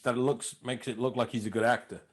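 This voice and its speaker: A male comedian telling stories in a casual interview, lively, acting out the other people's lines. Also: medium pitch, fairly quick, slightly rough. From lives in North London. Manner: animated, acts out dialogue in put-on voices. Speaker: comedian and impressionist, guest.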